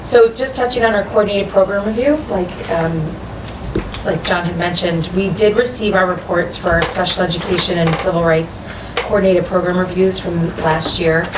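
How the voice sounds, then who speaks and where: A hoarse woman giving a special education report at a school committee meeting. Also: on the low side, steady tone, normal speed. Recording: echoey far-off room mic with noise.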